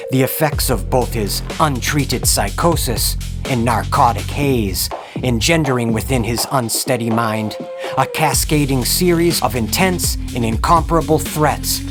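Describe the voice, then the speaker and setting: A man narrating a dark horror story in a dramatic, deliberate and ominous scripted style. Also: mid-range voice. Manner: ornate, deliberate, ominous phrasing. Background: background music.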